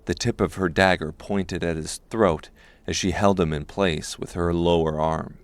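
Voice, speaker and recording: A man narrating a scripted dark fantasy audiobook. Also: low voice, steady tone, unhurried, no echo.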